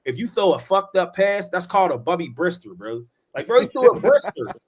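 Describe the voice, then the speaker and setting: A man's voice: sits high, sing-song, brisk, husky. A man in his forties with a Pittsburgh accent, telling loose, slangy stories on a casual podcast and tossing in "bro" and "yo". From Pittsburgh, USA. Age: 40s. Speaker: podcast host. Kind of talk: casual chat.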